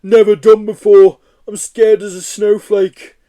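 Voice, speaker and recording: A man's voice: sits high, steady tone, a little slow, warm tone, dry recording, pitched above the performer's own voice. A man voicing a huge, timid male yeti who wails and blubbers in panic. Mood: panicky wailing.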